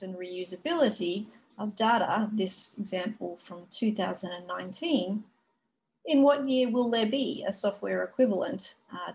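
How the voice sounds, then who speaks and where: A woman giving a conference keynote over a video call, explaining in a measured way with frequent fillers. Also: mid-range voice, a little mellow, a little hiss or hum, rough recording. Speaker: keynote speaker. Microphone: video call webcam.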